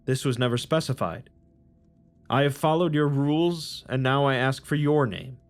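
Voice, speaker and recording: A man's voice: mid-range voice, moderate pace, soft background music, lightly acted. A man voicing a devout, honor-bound woman saint who speaks solemnly and sternly. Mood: guarded, stern.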